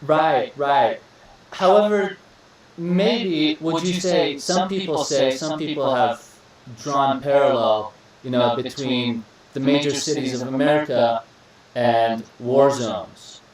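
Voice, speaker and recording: A young man from Iraq hosting a podcast interview, relaxed and chatty, often repeating words and calling his guest "bro.". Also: mid-range voice, slow, distant mic, a loud crowd. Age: young adult. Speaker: podcast host. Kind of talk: casual interview chat. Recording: echoey room, voice a bit off-mic.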